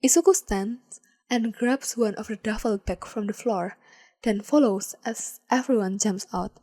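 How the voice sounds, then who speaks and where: A woman reading fan fiction aloud as a podfic, with calm, measured storytelling. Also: mid-range voice. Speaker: podfic reader.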